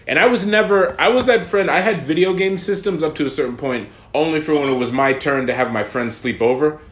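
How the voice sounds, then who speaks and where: A man's voice: a little high, fairly quick. A man in his thirties reminiscing in casual podcast chat as a co-host. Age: 30s. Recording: echoey room sound.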